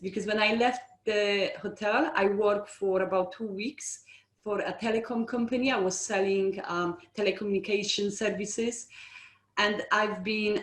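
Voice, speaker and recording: A woman's voice: mid-range voice, roomy sound. A middle-aged woman whose first language is not English, frankly telling her career story in an interview, with frequent ums and restarts. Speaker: estate agency owner, guest.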